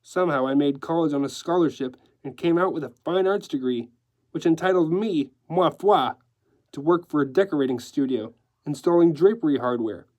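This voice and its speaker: A man's voice: on the high side, normal speed, a little mellow, close to an everyday voice. A man voicing a sly, gleeful, theatrical male decorator in a story, gushing and affectedly dropping French phrases. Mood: gleeful, boastful.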